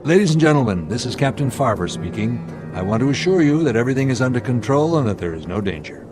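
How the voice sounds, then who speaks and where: An actor playing a steady airline captain in a radio drama, speaking with measured authority and a wry edge. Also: male voice, medium pitch, loud music behind the voice, close to an everyday voice. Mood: calm, reassuring. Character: steady, commanding male airline captain under pressure.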